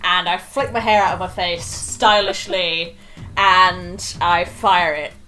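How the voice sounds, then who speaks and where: A woman's voice: lowish voice, slow, loud music behind the voice, low-quality recording. Brief: woman, giggly player, acts out her moves with relish. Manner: giggly and expressive. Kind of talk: casual tabletop play.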